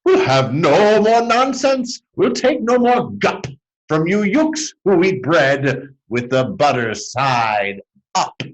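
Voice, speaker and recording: A man puts on a mean storybook villain, rude and boastful. He snarls his taunts in a raised, animated pitch with menace. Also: slow pace, exaggerated voice, low-quality recording. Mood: snarling threat.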